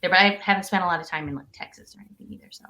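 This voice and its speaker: A woman in her thirties with a somewhat low voice, chatting as a podcast guest from home. She talks loosely, with plenty of fillers and frequent laughs. She comes through on a video call. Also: racing, bright, forceful tone. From United States. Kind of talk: casual podcast interview.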